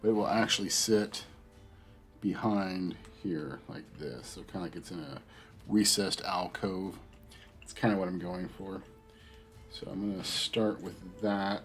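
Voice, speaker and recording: Stuffy middle-aged guy with a cold, lowish voice, chatting to stream viewers over faint music. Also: a little slow, vocal fry. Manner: relaxed, chatty, thinking aloud while crafting. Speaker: hobby livestream host. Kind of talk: casual chat while building a model.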